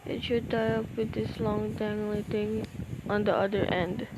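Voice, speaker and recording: A woman's voice: medium pitch, flat delivery, measured pace, background noise, lightly acted. A woman playing a practical, appreciative mother in an amateur radio play. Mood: puzzled.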